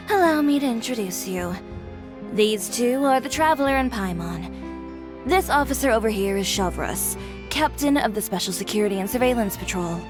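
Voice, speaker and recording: A woman voicing a proud, poised female fashion designer with a fiery temper in a game, composed and sharp, over faint music. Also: a little high, lively intonation, measured pace, long pauses. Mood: cool. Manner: composed, cool, sharp-tongued. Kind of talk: video game voice acting.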